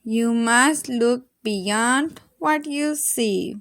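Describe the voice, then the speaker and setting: A woman reading a children's story aloud in an expressive, dramatic storytelling manner. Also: fairly high pitch, drawn-out words, dry recording, studio quality. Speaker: storybook reader.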